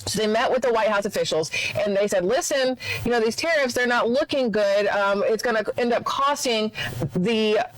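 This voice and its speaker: A man with a notably high voice delivers opinionated news commentary. He talks in long, rambling runs and hits his points with indignant emphasis. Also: moderate pace, fairly bright tone, poor audio. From United States.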